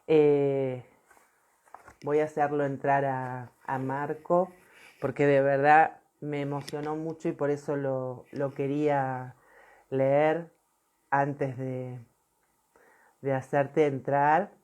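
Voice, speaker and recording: A woman's voice: extremely low pitch, little pitch movement, slow pace, pauses often, darkish tone. A woman hosting an interview, effusive and repeating words for emphasis, over a lo-fi internet call. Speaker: host of an online interview show. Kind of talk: warm personal introduction and interview chat.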